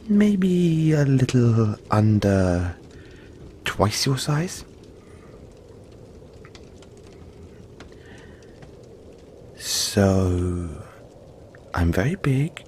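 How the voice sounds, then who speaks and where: A big furry yeti played by a man talks in a deep voice over faint background noise. He is soft-hearted and apologetic, soothing the listener with halting, playful chat in an intimate audio roleplay. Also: exaggerated voice. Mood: playful, proud. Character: big cuddly male yeti monster, friendly, apologetic, gentle.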